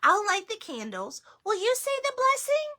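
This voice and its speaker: A kindly old grandmother in a picture book, fond and gently chiding, pitched high with a bright, lively lilt, as a woman reading aloud puts her on. She talks slowly, her voice swooping up and down. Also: heavily acted. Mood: fond teasing. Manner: chiding yet affectionate. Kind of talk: storybook read-aloud.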